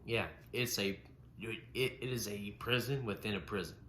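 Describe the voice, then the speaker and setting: A man's voice: on the low side, moderate pace, a bit bright. A man with a stutter, co-hosting a casual podcast, often repeating and breaking off sounds. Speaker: podcast co-host. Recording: roomy echo with faint noise.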